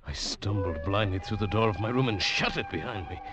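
A man's voice: on the low side, animated, a little fast, close to an everyday voice. An actor playing a bewildered, haunted traveler who tells his eerie tale in an intense, hesitant, dramatic way. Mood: haunted, brooding. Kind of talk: 1940s radio drama acting and first-person narration. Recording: dull vintage radio recording with music.

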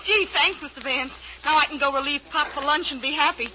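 A woman's voice: sits high, fairly quick, sharp tone. An American actress playing an eager, slangy young working girl in a 1940s radio drama, informal and chirpy. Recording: dull, noisy 1940s radio recording.

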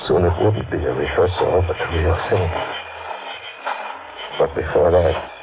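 A man's voice: low-pitched, measured pace. Dying tough-guy killer, old noisy muffled radio drama, terse and bitter. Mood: grim, tense. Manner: terse, hard-boiled delivery in short clipped phrases. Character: dying male killer, bitter and desperate, narrating his end. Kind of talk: 1940s radio thriller acting, first-person narration.

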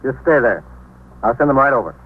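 A man's voice: medium pitch, lively intonation, a little fast, lightly acted. Clipped American police desk sergeant, old-radio sound man acting. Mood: businesslike. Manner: clipped, questioning. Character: businesslike male police desk sergeant taking calls. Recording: muffled, noisy 1950s radio recording.

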